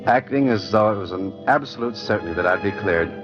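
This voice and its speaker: A man's voice: fairly low pitch, fairly quick, lightly acted. An actor playing a hesitant, guilt-stricken young clerk in an old radio drama, telling his own story. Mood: resigned, brooding. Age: young adult. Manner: hesitant, brooding. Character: dazed, guilt-stricken young law office clerk accused of murder. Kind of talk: 1940s radio acting, first-person narration. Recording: muffled old radio recording, music behind.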